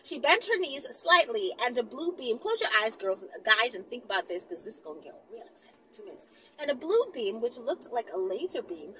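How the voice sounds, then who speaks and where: A woman's voice: on the high side, very animated, a little fast, sharp tone, recorded from a distance, low-quality recording. A woman reading a story aloud in a dramatic style, with playful, teasing asides to her listeners. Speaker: storyteller, channel host.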